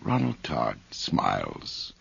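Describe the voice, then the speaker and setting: Sinister radio narrator, bottomless bass pitch, very breathy husk, slow horror storytelling, slightly dull 1980s recording. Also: male voice, wildly swooping pitch, fairly bright tone, full character voice. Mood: ominous, hushed. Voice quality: husky, breathy, gravelly.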